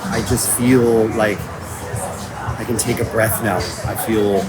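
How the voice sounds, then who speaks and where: A man's voice: lowish voice, steady tone, slow pace, over loud music, over a noisy crowd. A man talking casually and informally about his creative work, in video diary style. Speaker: audio drama writer and creator. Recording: noisy location recording with vehicle and ambient noise.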